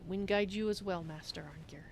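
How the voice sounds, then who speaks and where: A woman's voice: lowish voice, moderate pace, vocal fry, a little hiss or hum. A woman voicing a polite, chatty female cat-folk adventurer in improvised fantasy game roleplay, referring to herself in the third person. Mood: polite, agreeable. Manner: speaks of self in third person. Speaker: roleplay streamer.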